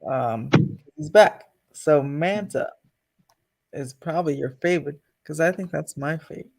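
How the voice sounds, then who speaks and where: A man's voice: on the high side, unhurried, gravelly, rough recording. A man hosting a casual livestream, chatting off the cuff and addressing viewers. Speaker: channel host.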